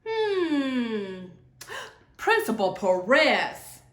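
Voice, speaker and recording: A woman's voice: a little high, big pitch swings, very slow, exaggerated voice. A woman reading a storybook voices a thoughtful, outspoken young schoolgirl with emphatic expression. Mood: puzzled, musing. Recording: echoey room.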